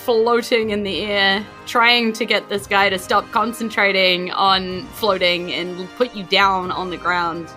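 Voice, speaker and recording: A woman's voice: medium pitch, little pitch movement, measured pace, few pauses, pushed and bright, soft background music. A woman with a New Zealand accent casually narrating a tabletop game as game master, playful in manner.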